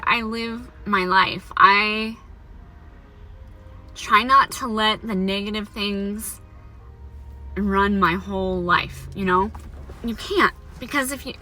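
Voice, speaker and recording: Young woman, high bright voice shaped by a mouth prosthetic, candid confessional vlog delivery, soft music beneath. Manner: candid, reflective, encouraging. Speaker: vlogger sharing her cancer survival story. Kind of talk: personal talking-to-camera vlog.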